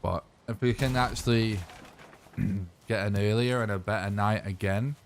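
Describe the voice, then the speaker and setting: Deep-voiced man, going hoarse. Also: measured pace, a little hiss or hum. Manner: rambling, relaxed, thinks aloud while playing. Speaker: streamer. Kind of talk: casual live-stream gaming chat.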